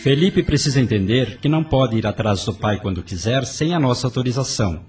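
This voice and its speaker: A man's voice: medium pitch, animated, a little fast, lightly acted. A man as a distant, authoritative spirit mentor. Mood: instructive. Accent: Brazilian. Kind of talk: audio drama acting. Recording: distant voice over music.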